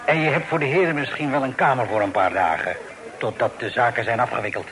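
Actor as wry, talkative old-time sea captain. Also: male voice, medium pitch, fast talker, a crowd in the background, lightly acted. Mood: helpful. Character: garrulous wry male sea captain full of sayings. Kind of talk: radio drama acting.

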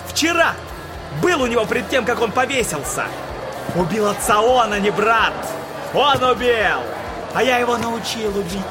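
A man playing a feverish, tormented, bitterly defiant courtroom witness in a theatrical audio drama, speaking in an agitated, sardonic, erratic way. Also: very high-pitched. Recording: dramatic music behind the voice. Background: music.

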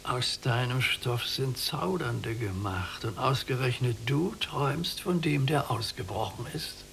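An actor playing a weary old prisoner in a literary radio play, gravelly and severe in a measured, declamatory way. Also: male voice, mid-range voice, lively intonation, fairly bright tone, slight background noise. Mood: resigned, stern. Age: older. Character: old blind prisoner, weary, stern, resigned.

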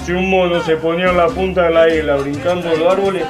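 An actor playing a folksy, indignant veteran cane cutter with a Cuban accent, colloquial and exclamatory in a radio drama. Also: male voice, a little high, fairly even intonation, quick pace, natural voice. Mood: boastful, amused. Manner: colloquial, exclamatory, vivid storytelling. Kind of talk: radio drama monologue in casual talk. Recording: faint music behind.

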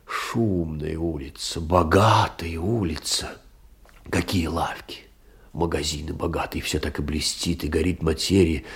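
An actor playing a lonely, meek middle-aged clerk, musing tenderly in a husky, gravelly voice in a theatrical monologue. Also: male voice, rumbling low, steady tone, unhurried, exaggerated voice. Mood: wistful, musing. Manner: musing, intimate, hesitant storytelling. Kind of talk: theatrical literary monologue in an audio play.

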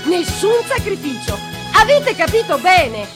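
A woman's voice: very high-pitched, big pitch swings, measured pace, over loud music, full character voice. A woman playing a manic, grandiose diet-product peddler in satirical theatre, declaiming and chanting insistently with stretched words. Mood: grandiose persuasion. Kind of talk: satirical theatre advertising pitch.

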